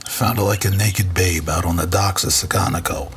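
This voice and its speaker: A man playing a gruff, blunt, aging tough guy in an audio drama. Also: sits low, fairly even intonation, moderate pace, fairly bright tone, a little hiss or hum. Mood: wry. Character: gruff, aging, impatient man hauling an android.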